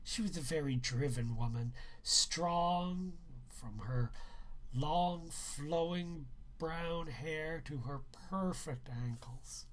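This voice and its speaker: A man reading a first-person novel aloud as its author, with deliberate storytelling phrasing. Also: on the high side.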